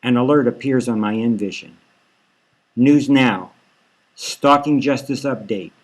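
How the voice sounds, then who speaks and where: Male author-narrator for scripted dark fiction audiobook, mid-range voice. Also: medium pitch, unhurried, rough recording. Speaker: author reading own novel.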